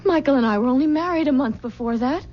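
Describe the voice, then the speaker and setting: An actress playing a desperate, anguished pregnant young bride in a melodramatic old-time radio horror play. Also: female voice, high-pitched, quick pace, natural voice. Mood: fearful, desperate. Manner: melodramatic, intense. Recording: dull, noisy vintage radio recording.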